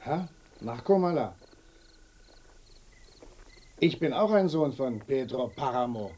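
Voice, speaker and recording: An actor playing a sardonic, fatalistic village muleteer in a radio play, speaking in a wry, cryptic way. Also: male voice, mid-range voice, lively intonation, dark tone. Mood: wry, evasive. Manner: wry, cryptic, drawn-out interjections. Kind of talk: German radio play acting.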